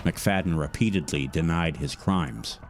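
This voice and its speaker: A man with an extremely deep voice narrates a true-crime podcast. His delivery is measured and dramatic, like a storyteller building suspense, with music clearly playing underneath. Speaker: narrator, voice actor. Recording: music bed behind narration.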